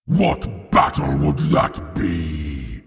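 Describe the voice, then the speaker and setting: Audio drama villain: colossal demon warlord, slow gravelly snarl, long reverberant hall, bloodthirsty and grandiose. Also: male voice, very deep voice, wildly swooping pitch, full character voice. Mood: bitter, angry. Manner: bellowing, drawn-out grandiose declarations. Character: huge horned winged demon primarch, wrathful bloodthirsty father. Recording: long hall reverb, faint music behind.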